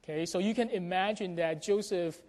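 Pastor, mid-pitched voice, measured explanatory preaching, congregational address, long hall reverb. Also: darkish tone. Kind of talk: church announcements and sermon. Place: church sanctuary during a service.

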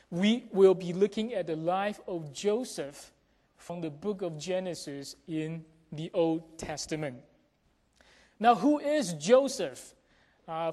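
A pastor giving announcements and a sermon to a congregation in a measured, explanatory way, with check-in questions to the listeners. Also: medium pitch, mellow. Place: church sanctuary during a service. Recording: reverberant hall sound.

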